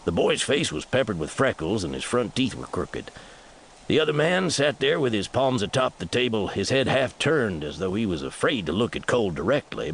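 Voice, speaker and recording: A man's voice: on the low side, slight background noise. A man with an American accent narrating a scripted western novel as an audiobook.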